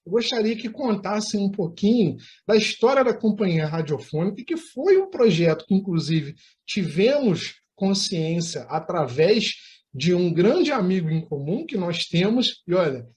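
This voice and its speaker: A man's voice: sits high, sing-song, moderate pace, a little mellow. A man with a Brazilian Portuguese accent hosting an interview in an enthusiastic, wordy, rather flowery way. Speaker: interview host, anchor. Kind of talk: effusive, formal hosting talk.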